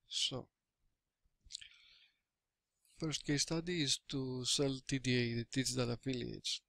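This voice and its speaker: Slow, halting Greek man with gravelly voice. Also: medium pitch, fairly bright tone, no echo. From Greece. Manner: hesitant, halting English with many fillers and restarts. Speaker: affiliate marketer giving a product review. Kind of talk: casual screen-recorded walkthrough. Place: small room indoors. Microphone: webcam or laptop mic.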